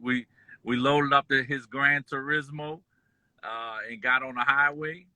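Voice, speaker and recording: A man born in Kuwait and raised in Detroit, telling his life story as an interview guest in a relaxed, rambling way full of fillers. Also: medium pitch, measured pace, no echo. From born in Kuwait, raised in Detroit and New Orleans. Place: at home, just pulled into driveway.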